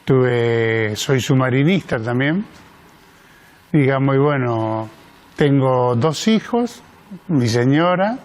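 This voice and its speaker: A man's voice: medium pitch, animated, slow, pauses often, darkish tone. An older man with an Argentine accent introducing himself as a participant on a panel. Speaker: guest participant, retired fisherman and veteran.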